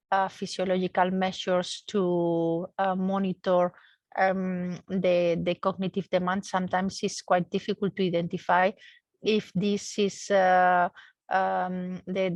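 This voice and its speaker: A woman's voice: lowish voice, no room sound. A woman research engineer giving a technical explanation in a seminar, searching for words and often repeating them as she goes.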